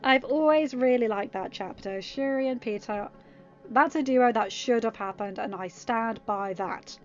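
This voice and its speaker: A woman giving an expressive scripted narration of a story, as a podfic reader. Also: a little high, unhurried. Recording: slightly dull recording. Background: music.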